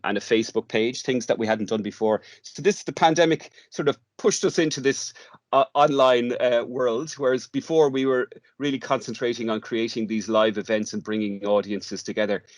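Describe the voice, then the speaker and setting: An Irish man talking conversationally as an interview guest, halting and often repeating words as he explains. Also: medium pitch, no echo, clean studio sound. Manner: hesitant, halting, with stammered word repeats. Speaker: guest, audio theatre workshop leader.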